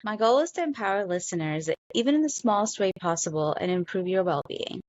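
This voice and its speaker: A woman's voice: medium pitch, very animated, brisk, darkish tone, no room sound. A woman hosting a podcast, reading her show's intro in an upbeat, promotional way. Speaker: podcast host. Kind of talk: scripted show intro. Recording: dull lo-fi audio.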